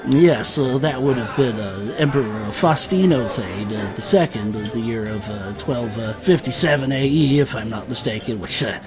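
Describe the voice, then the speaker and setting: A man playing a fussy, self-doubting know-it-all, halting and pedantic, in a comic fantasy audio drama. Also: medium pitch, expressive, close to an everyday voice. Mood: pedantic, unsure. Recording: music behind the voice.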